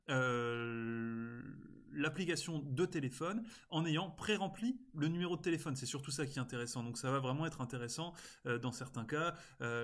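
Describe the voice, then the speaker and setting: Man giving a casual step-by-step tutorial. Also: mid-range voice. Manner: casual, step-by-step explaining with frequent interjections. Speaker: tutorial presenter. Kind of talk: informal screen-recorded software tutorial. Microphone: screen-recording voice-over.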